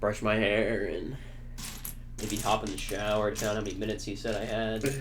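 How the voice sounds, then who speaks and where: A man's voice: lowish voice, flat delivery, a little fast. A young man co-hosting a casual podcast chat, dry and easygoing, telling stories. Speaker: podcast co-host.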